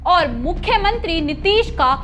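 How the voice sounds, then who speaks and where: A woman's voice: very high voice, expressive, a little slow, a bit bright, faint music behind. A woman news anchor reading a scripted news report.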